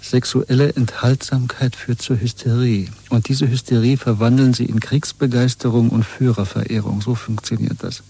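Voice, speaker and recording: An actor playing a disillusioned, earnest rebel in a dystopian radio play from the 1970s. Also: male voice, mid-range voice, fairly even intonation, moderate pace, vocal fry, hardly any pauses, warm tone, breathy edge. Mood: bitter, intense. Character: disillusioned, brooding rebel man in a dystopian state.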